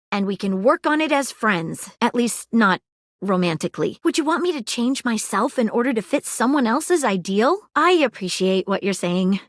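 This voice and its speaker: A woman voicing an earnest, flirtatious female detective in a video game, with formal, theatrical, deliberate phrasing. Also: on the high side, a bit bright, dry recording, studio quality. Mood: earnest, gently firm. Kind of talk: scripted game character dialogue.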